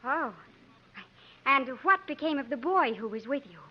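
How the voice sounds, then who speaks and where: Proud suspicious young woman, very high pitch, theatrical, old radio play, dull sound. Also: animated, measured pace. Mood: wary. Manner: haughty, formal, theatrical. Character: proud, suspicious, feisty young gentlewoman of frontier days. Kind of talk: 1950s radio acting. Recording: dull 1950s radio recording.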